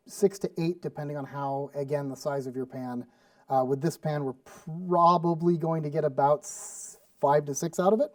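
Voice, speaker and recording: A man's voice: on the high side, dry recording, clean studio sound, recorded from a distance. A man from Sweden with no accent, chatting casually and rambling with fillers while demonstrating a recipe as a cooking show host. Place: studio kitchen.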